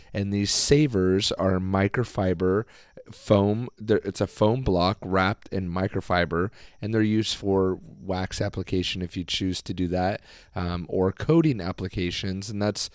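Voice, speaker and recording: A man hosting a podcast, talking casually and hoarsely with his voice nearly gone, rambling with fillers and restarts. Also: deep voice, darkish tone, no echo, clean studio sound. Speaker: podcast host. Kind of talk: casual solo podcast talk.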